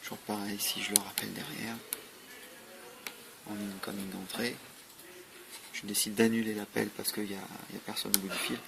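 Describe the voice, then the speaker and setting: A man walks through a product in a matter-of-fact way. His voice is low and gravelly, with very little melody. The recording is noisy, with clicks. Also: flat delivery, normal speed, pauses often. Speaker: product demonstrator. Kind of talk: informal hands-on product demonstration.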